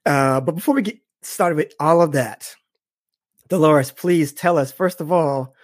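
A man's voice: on the high side, a little slow, dry recording. Man, podcast host, enthusiastic, dry sound. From Boston. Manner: upbeat, enthusiastic, repeats "awesome". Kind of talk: interview hosting.

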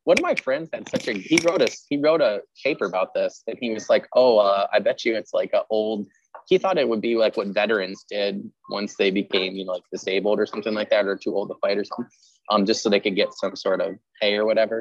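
Low-voiced chatty man, roomy muffled sound. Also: fast. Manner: chatty, animated storytelling with quoted asides. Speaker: podcast host; teacher. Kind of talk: casual chat interview.

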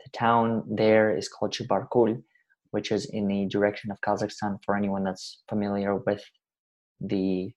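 Man, 20s, from Russia, podcast guest; low, level delivery, earnest and unhurried. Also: level tone, a little mellow. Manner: earnest, measured, speaks in long winding sentences. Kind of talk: reflective interview talk.

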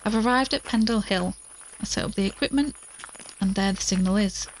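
A woman's voice: medium pitch, a little fast, close to an everyday voice. An actress in an audio drama playing an exhausted, anxious but determined woman who is recording a spoken log. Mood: worried, resolute. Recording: clearly noisy outdoor recording with crickets.

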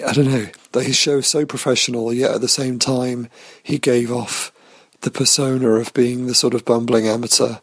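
A man leaving a voicemail, speaking with a hoarse, croaky voice in a rambling, halting way full of ums and restarts. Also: mid-range voice, dry recording. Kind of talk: casual voicemail tribute.

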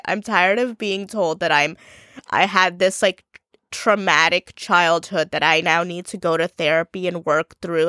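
A woman's voice: mid-range voice, fairly bright tone, no echo, studio quality. A young adult woman hosting her own podcast, talking alone in a blunt, ranting way with plenty of swearing, a bit stuffy from congestion. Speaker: podcast host. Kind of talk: solo podcast monologue.

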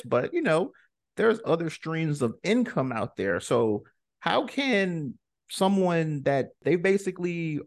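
A man's voice: on the high side, no echo, studio quality. A man hosting a podcast interview in a casual, chatty, encouraging way. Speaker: podcast host.

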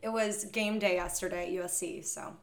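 A slightly hoarse woman in her twenties from Los Angeles, chatting as a podcast guest in an upbeat way, full of "like" and "you know". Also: lowish voice, fairly even intonation, fairly quick, a little hiss or hum. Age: 20s. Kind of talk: casual chat.

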